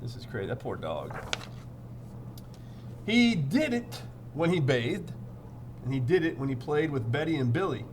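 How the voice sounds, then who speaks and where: A man reads a children's picture book in an animated, swooping voice. He breaks off for informal, playful commentary, with noticeable ambient noise in the recording. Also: medium pitch. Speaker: read-aloud storyteller. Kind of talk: picture book read-aloud with casual asides.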